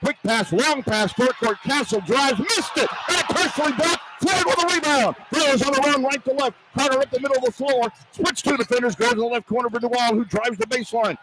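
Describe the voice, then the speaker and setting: A man calling live basketball play-by-play on radio from a noisy arena, in excited, clipped phrases that follow the action. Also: very high-pitched, brisk, few pauses. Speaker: play-by-play announcer. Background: loud arena noise.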